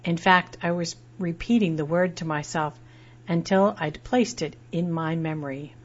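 A middle-aged woman reading a personal essay aloud in a measured, reflective way. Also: on the low side. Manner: measured and reflective, spells out words letter by letter. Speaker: essay narrator. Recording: dull lo-fi recording.

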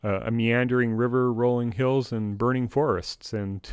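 A man's voice: medium pitch, steady tone, moderate pace, clear, resonant tone. A man co-hosting a podcast in casual discussion, often with short, agreeable replies. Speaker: podcast co-host.

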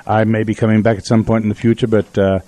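A man's voice: on the low side, flat delivery, quick pace, mellow, no echo. A man hosting a community radio show in a casual, conversational style.

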